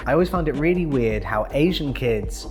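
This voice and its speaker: A man's voice: medium pitch, expressive, moderate pace. A young man with a British accent, narrating a personal video essay with dry, sarcastic humor. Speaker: YouTuber. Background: background music.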